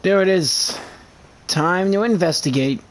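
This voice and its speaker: A man giving casual, halting gameplay commentary as a gaming YouTuber. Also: sits high. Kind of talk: casual gameplay commentary, reading along with dialogue. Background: game music.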